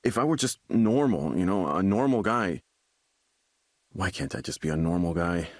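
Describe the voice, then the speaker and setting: An actor playing a troubled young man desperate to stay normal, agitated and halting as he repeats himself in a video game. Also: sits low, lively intonation, no room sound, studio quality. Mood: anguished, self-blaming.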